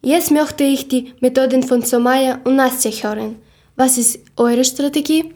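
A woman's voice: high-pitched, fairly even intonation, a little slow, darkish tone. A woman from Ukraine, hosting a podcast and carefully reading her lines from a script. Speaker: podcast host.

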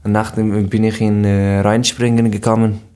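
A seventeen-year-old young man from Afghanistan, a podcast guest, speaking haltingly with frequent fillers. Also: on the low side, flat delivery, warm tone. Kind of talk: casual podcast interview.

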